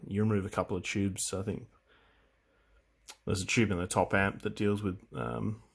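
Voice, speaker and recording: A man giving a casual, unscripted walkthrough of equipment, speaking in a hesitant, halting way as he thinks aloud. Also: on the low side, fairly even intonation, vocal fry, slightly rough. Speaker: hobbyist presenter demonstrating vintage audio gear.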